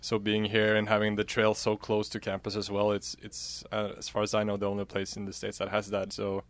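A man's voice: on the low side, completely flat delivery, a little fast, dry recording. A young man with a Norwegian accent giving casual answers as an interview guest. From Norway. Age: young adult. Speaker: student athlete, interview guest.

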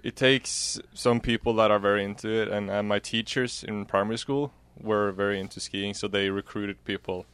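A man's voice: fairly low pitch, little pitch movement, normal speed, few pauses, a bit bright, clean tone. A young man with a Norwegian accent giving casual answers as an interview guest. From Norway. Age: young adult. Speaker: student athlete, interview guest.

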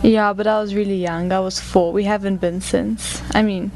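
A woman's voice: mid-range voice, fairly even intonation, moderate pace, a little mellow, soft background music. A young adult woman from South Africa, a college student being interviewed on the radio, answering hesitantly with frequent laughs and restarts. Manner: hesitant, giggly, lots of fillers and restarts. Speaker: student athlete guest. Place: radio studio.

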